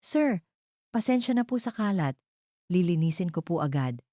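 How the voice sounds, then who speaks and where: Young housemaid acted by a woman: sweet, playful, easily flustered, animated delivery for a romantic audio drama, mellow tone. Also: on the low side, normal speed, dry recording, studio quality.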